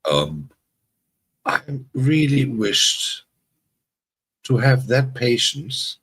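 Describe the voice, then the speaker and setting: A man's voice: medium pitch, big pitch swings, very slow, fairly bright tone, husky. A man from Germany, hosting a casual livestream chat and rambling with many restarts and self-deprecating asides. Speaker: livestream host.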